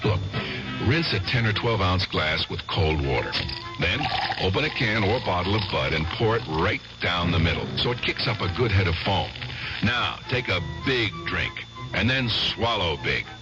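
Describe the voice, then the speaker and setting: A man's voice: low-pitched, expressive, unhurried. A man announcing a radio advertisement in a confident, persuasive voice. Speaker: commercial announcer. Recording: muffled old radio ad with loud music.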